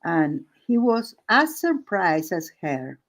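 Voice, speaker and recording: A woman who is not a native English speaker tells an improvised story casually, halting phrase by phrase with searching pauses. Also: lowish voice, very animated. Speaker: host of an art and story stream.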